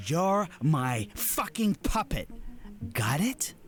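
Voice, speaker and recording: Arrogant, taunting handler with swooping pitch. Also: male voice, big pitch swings, slow, faint music behind. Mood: menacing, mocking. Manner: taunting, condescending. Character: menacing, arrogant, condescending military handler. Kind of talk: dramatic scripted acting.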